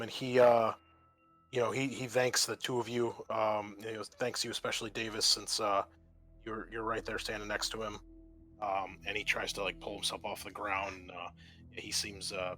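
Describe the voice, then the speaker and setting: A man narrating a tabletop roleplaying game as game master, speaking haltingly with pauses mid-sentence. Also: lowish voice, little pitch movement, fairly bright tone, slight background noise. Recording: music behind the voice. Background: background music.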